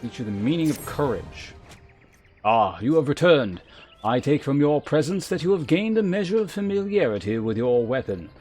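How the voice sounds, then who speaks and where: A man voicing a stern, demanding lancer guildmaster from a fantasy game, speaking in a formal, measured, commanding manner. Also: medium pitch, big pitch swings, a little mellow, faint music behind, pitched above the performer's own voice, natural voice. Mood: grave, instructive. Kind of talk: voiced fantasy game dialogue.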